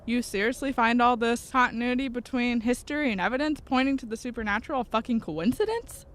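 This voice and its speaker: A woman's voice: on the high side, fast talker, lightly acted. A woman playing a stern, insistent young goth occultist in an audio drama, earnest and ominous.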